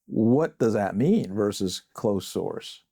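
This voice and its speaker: A man from Samoa, hosting a podcast conversation in an earnest, self-deprecating way with halting restarts. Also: lowish voice, lively intonation, mellow, no room sound, clean studio sound. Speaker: podcast host. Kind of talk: casual faith podcast conversation.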